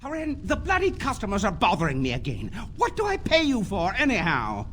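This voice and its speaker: A man's voice: high-pitched, wildly swooping pitch, fairly quick, over music. A man playing a temperamental, self-important armorsmith in a video game, complaining in a whiny, dramatic way. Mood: exasperated.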